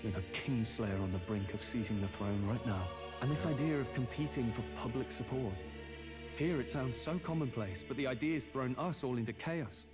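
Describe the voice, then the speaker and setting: A man's voice: medium pitch, normal speed, close to an everyday voice. A man voicing a pensive young fantasy nobleman, music behind. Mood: thoughtful. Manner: formal, pensive, articulate. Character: earnest, brooding young nobleman in a fantasy game. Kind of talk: video game dialogue acting.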